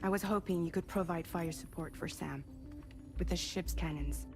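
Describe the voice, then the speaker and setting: A grave woman commander acted by a woman in a video game cutscene. Her voice is low and her delivery measured and authoritative. Prominent music plays behind her. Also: natural voice. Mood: commanding. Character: composed woman commander briefing her crew, grave and steady.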